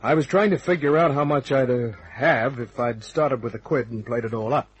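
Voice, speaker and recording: An actor playing a wry, cocky private detective, narrating and talking in a dry, laconic old-time radio style. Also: male voice, mid-range voice, moderate pace, slightly rough, close to an everyday voice, low-quality recording. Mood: wry narration.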